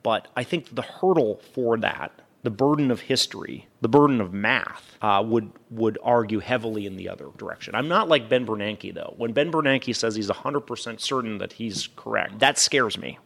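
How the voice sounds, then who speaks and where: Low, creaky-voiced man explaining analytically. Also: moderate pace, hardly any pauses, fairly bright tone. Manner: emphatic, rhetorical questions and repetition. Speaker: podcast guest, investment fund manager. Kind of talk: analytical interview talk.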